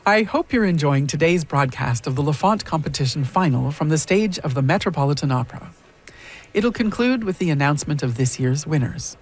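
Male radio host, high and husky, friendly scripted read. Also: lively intonation, a little fast.

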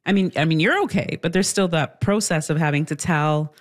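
A woman hosting an interview speaks in a fairly low voice. She asks gentle, probing questions and stumbles over the first word of a phrase now and then. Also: a little fast.

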